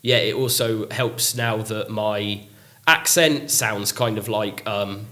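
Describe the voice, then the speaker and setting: A man's voice: fairly low pitch, takes it slowly, sharp tone. A man, a teacher chatting casually on a podcast, full of ums and likes and poking fun at himself. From England. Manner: chatty, lots of ums and likes, self-mocking. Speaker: English teacher.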